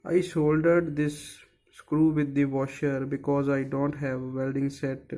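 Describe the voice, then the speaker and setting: Slow, halting man. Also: mid-range voice, level tone, dark tone. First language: Punjabi. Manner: hesitant, searching for words, many fillers. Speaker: DIY maker. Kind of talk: casual how-to narration.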